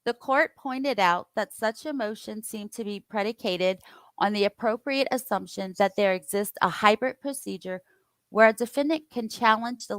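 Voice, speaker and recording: A woman hosting a casual online show, reading court filings aloud in a steady, careful way with side comments. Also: mid-range voice, no echo. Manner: steady, careful reading with occasional stumbles and asides. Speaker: host reading court documents.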